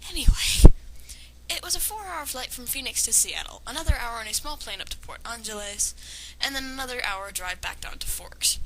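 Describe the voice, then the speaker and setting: Woman, fairly high voice, amateur story reader and commentator, sarcastic, faint background noise. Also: expressive, fairly quick. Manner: sarcastic, nitpicking, hesitant in asides. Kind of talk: dramatic reading of a story with casual mocking asides. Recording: clearly noisy amateur home recording.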